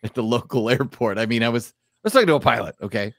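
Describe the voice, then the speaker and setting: A middle-aged American man hosting a casual podcast, swearing freely and often halting mid-sentence. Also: mid-range voice, animated, gravelly, no room sound, studio quality. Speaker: podcast host. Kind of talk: casual chat. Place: small room at home, on a video call.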